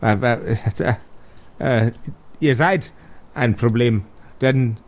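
A man's voice: medium pitch, slow, pitched below the performer's own voice, lightly acted. A man voicing a grumpy, flustered old magician in a tabletop game, complaining and repeating himself in outrage. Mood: irritated.

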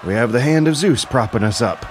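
A man's voice: medium pitch, animated, a little fast, a little hiss or hum, pitched above the performer's own voice, close to an everyday voice. A man playing a wily, commanding ancient warrior king, emphatic, persuasive and scolding, in an audio drama. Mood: reasoning, warning.

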